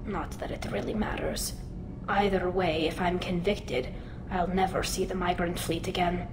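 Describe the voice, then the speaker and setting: A woman's voice: on the low side, fairly even intonation, moderate pace, roomy sound, noisy background, close to an everyday voice. A woman playing an earnest young alien woman accused of treason, speaking formally in video game dialogue. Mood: resigned, worried.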